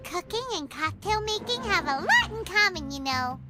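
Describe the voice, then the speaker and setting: Tiny fairy sidekick, very high childlike squeak, excitable, animated, game voice, prominent music. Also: female voice, normal speed. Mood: cheerful. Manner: perky, indignant, eager. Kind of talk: video game voice acting. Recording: game audio under loud music.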